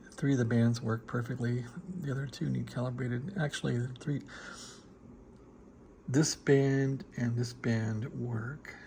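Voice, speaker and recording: A man giving a casual, rambling show-and-tell as a hobbyist, laid-back and halting as he thinks aloud. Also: medium pitch, creaky, noisy background. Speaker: hobbyist showing a restored radio receiver.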